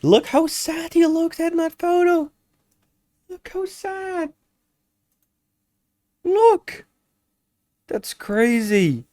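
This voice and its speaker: A nineteen-year-old man talks slowly and unhurriedly, as if thinking out loud while he reacts. He sounds exasperated and stumbles, restarting his sentences mid-thought. The sound is dry and close, with no room echo. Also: high voice. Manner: meandering, exasperated, trips over his words and corrects himself. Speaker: reaction video host. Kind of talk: casual reaction commentary.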